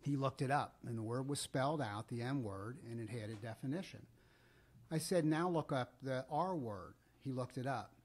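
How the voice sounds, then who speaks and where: Middle-aged American male podcast co-host, medium pitch, deliberate conversational storyteller with pauses. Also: husky.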